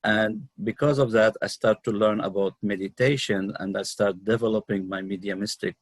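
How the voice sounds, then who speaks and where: A middle-aged man with a Lebanese accent speaks in a fairly low voice, recorded dry with little room sound. He talks off the cuff as an interview guest, halting and pausing mid-sentence as he recounts his own experiences. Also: steady tone, no echo. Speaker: guest, numerologist and healer. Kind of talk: unscripted personal storytelling in an interview.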